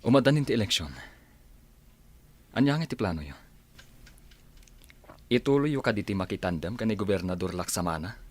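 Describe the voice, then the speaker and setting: A man's voice: on the low side, expressive, fairly quick, long pauses. A man acting a serious attorney and political adviser in an Ilocano radio drama. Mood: persuasive.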